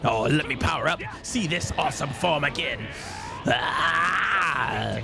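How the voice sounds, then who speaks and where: A man's voice: on the high side, fairly quick, natural voice. A man voicing a vengeful, mocking alien parasite villain in a theatrical, cackling video game style. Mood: taunting, exultant. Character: gloating vengeful alien parasite villain, sneering at monkey foes. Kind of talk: live improvised video game villain dubbing. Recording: loud game music behind.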